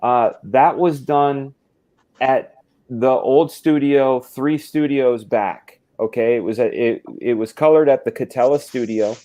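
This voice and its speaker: A man's voice: medium pitch, measured pace, mellow. A man talking as a guest artist in a casual video-call chat, explaining things at length with many restarts.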